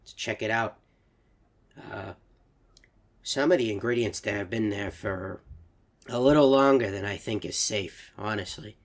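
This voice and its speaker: A man voicing a polite, hesitant male robot who talks in a soft, stammering way. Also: on the low side, creaky.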